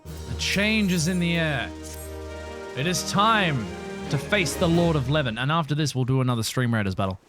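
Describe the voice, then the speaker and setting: A streamer chats to viewers in a relaxed, rambling way, often stopping and restarting mid-phrase. The voice is tired but the pitch still moves around a lot. Faint game music plays quietly behind. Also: moderate pace. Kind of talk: live game playthrough with voiced reading.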